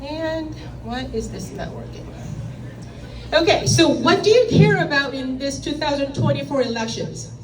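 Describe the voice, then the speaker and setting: Loud middle-aged Korean-accented woman speaker. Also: sits high, lively intonation, normal speed. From South Korea. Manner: loud, emphatic, direct and plain-spoken. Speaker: guest speaker. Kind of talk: public presentation to a meeting. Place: meeting room with an audience. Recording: echoey room from a distance with faint noise.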